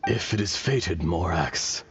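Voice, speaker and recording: A man's voice: animated, slow, exaggerated voice. A man voicing an ancient, weary earth dragon spirit in a video game, solemn and fatalistic, with formal philosophical speech. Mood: resigned, solemn. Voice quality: deep, gravelly. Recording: dull lo-fi game capture under music.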